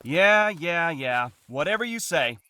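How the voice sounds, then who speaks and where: A man's voice: medium pitch, animated, measured pace. A man playing a weary, defensive husband in a game drama, curt and dismissive. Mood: irritated, dismissive. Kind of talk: dramatic game cutscene acting.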